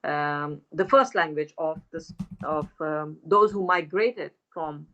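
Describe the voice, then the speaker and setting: Female academic guest, lower voice, hesitant delivery, lo-fi remote sound. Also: takes it slowly, a bit bright. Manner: hesitant, many fillers and restarts. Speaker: guest linguist. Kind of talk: academic conversation.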